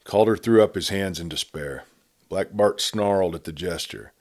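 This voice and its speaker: A man reading a western tale as an audiobook narrator, in an expressive, measured, dramatic storytelling style. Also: low-pitched.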